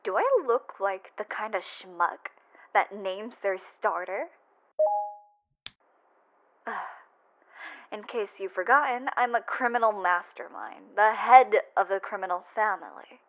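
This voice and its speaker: A woman's voice: mid-range voice, measured pace, long pauses, lightly acted. Woman playing a cocky, sweet-talking mafia boss, lo-fi. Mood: affectionate, bored, then boastful, teasing. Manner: teasing, swearing, often dropping to a breathy murmur. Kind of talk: intimate roleplay voice message. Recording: dull, lo-fi voice-message sound.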